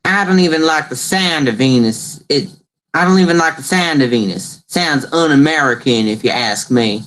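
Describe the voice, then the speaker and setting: A man's voice: fairly high pitch, animated, moderate pace, a bit bright. A man playing a bumbling, stubborn poor farmer in a mock radio play, hesitant and wavering between formal and folksy wording. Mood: stubborn, indignant.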